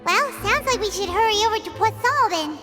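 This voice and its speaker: A woman voicing a small cheerful know-it-all fairy companion with a squeaky, childlike voice, in an English game dub. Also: animated, fairly quick, music in the background. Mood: earnest. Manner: childlike, squeaky, speaks of herself in third person.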